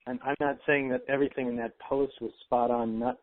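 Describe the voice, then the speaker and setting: Male call host, mid-pitched, earnest and explanatory, heard over a narrow phone line. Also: sing-song, brisk, gravelly, very noisy. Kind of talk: casual discussion on a conference call. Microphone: telephone.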